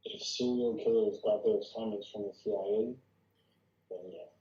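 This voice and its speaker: Man as candid covert-agency boss, table-read drama, dark even delivery, dull roomy sound. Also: on the low side, normal speed, close to an everyday voice. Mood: dry. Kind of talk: screenplay table read acting. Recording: muffled, echoey room recording.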